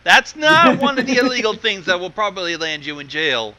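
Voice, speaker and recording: A man's voice: sits high, big pitch swings, fairly quick, pushed and bright, low-quality recording. A man bantering casually as a guest commentator, exclamatory and mock-dismayed, recorded with some background noise. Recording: clear background noise and ambience.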